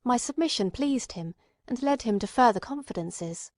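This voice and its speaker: A woman's voice: a little high, expressive, moderate pace, a little mellow, dry recording. Woman narrating a classic novel, dry sound. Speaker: audiobook narrator. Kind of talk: scripted literary narration.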